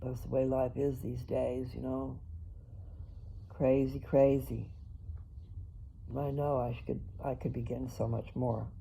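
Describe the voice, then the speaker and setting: A woman's voice: rumbling low, little pitch movement, a little slow, gravelly, long pauses, dark tone, slight background noise, poor audio. An older woman chatting casually in a personal vlog, rambling and talking straight to her viewers. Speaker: vlogger.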